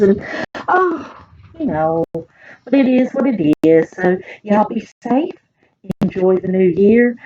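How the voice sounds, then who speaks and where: A woman with a Southern US accent and a husky voice reads aloud to young children as a librarian, expressive and folksy, speaking to them directly. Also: on the low side, lo-fi sound, slight background noise. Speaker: children's librarian reading picture books aloud.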